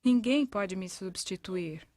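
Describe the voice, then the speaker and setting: A woman's voice: mid-range voice, expressive, takes it slowly, darkish tone, dry recording, clean studio sound. A woman with a Brazilian accent reading a scripted educational voice-over. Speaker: narrator of an educational parenting video. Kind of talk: scripted voice-over narration, reading testimonials aloud.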